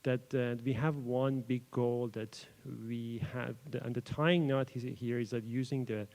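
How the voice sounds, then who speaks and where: A young man from Iran, a Persian speaker, presents research to a live audience at a summit. His voice sits at an ordinary middle pitch. His delivery is loose and informal, broken by frequent ums, uhs and restarted phrases as he speaks into a podium microphone. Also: steady tone, measured pace, a little mellow. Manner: hesitant, frequent fillers and restarts. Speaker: doctoral researcher giving a conference talk. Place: event room at a live summit. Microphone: microphone adjusted at the podium.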